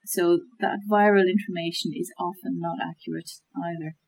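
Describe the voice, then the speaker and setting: A woman with a slightly husky, fairly low voice explains things in a measured, thoughtful way. She pauses on fillers and restarts her sentences as she works through each point. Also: fairly even intonation, a little mellow, poor audio. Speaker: guest expert on media literacy. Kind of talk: conversational explanation.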